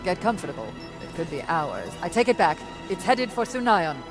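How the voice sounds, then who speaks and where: A woman playing a brisk, authoritative older scientist in a video game, with music behind her. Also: on the low side, sing-song. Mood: curt, alert.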